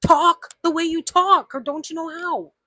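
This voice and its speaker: A woman's voice: very high-pitched, fairly even intonation, a little slow, sharp tone, no echo, clean studio sound. A woman with a slight Southern US accent, ranting indignantly to camera in a video of her own, emphatic and repeating her points. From United States. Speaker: vlogger. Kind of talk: angry opinion rant to camera.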